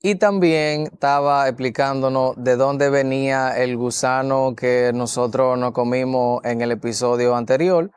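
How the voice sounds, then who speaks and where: Smooth, dry-voiced Dominican man narrating. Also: medium pitch, steady tone, dry recording. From Dominican Republic. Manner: clear, measured delivery for learners. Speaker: vlogger. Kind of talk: slow scripted narration.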